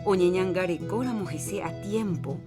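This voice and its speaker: A woman with a Paraguayan accent reading a scripted public health announcement in a measured, informative way. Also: on the low side. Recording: music bed under voice.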